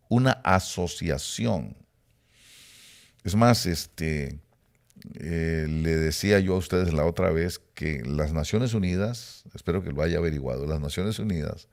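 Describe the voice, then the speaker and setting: A middle-aged man from Rica, teaching a sermon with emphatic rises and quiet low asides. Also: vocal fry. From Costa Rica. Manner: emphatic preaching, rising then dropping to low asides. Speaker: preacher.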